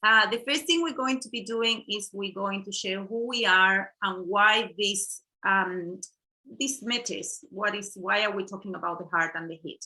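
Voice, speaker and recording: A middle-aged woman from Chile, co-hosting an online workshop over a video call in a halting, casual way with fillers and restarts. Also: medium pitch, pushed and bright, rough recording. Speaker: workshop co-host.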